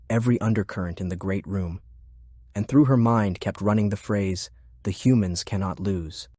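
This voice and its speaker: A man's voice: fairly low pitch, a little mellow, no echo. A man reading scripted fiction as an audiobook narrator, close to the microphone.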